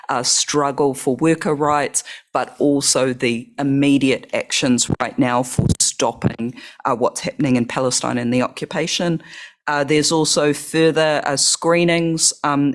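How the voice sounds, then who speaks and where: A man giving quick, informal event details to an online meeting over a video call microphone. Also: mid-range voice. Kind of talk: brief announcement in an online meeting.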